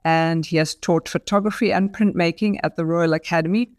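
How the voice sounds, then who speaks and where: A woman's voice: lowish voice, steady tone, fairly quick, darkish tone, no echo, studio quality. A woman hosting an interview show, reading a scripted introduction and asking long, multi-part questions. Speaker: podcast host.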